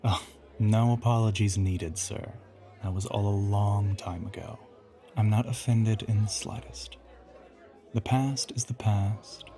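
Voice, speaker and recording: A man playing an ancient, suave male vampire in an intimate audio roleplay, teasing and smug, with formal, theatrical phrasing. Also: warm tone. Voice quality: low, breathy half-whisper.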